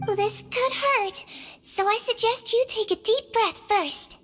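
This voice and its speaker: Very high-pitched girlish little nurse creature. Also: unhurried. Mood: reassuring. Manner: calm, reassuring, clinical. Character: small, girlish, gentle Melusine head nurse. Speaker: head nurse. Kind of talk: video game voice acting.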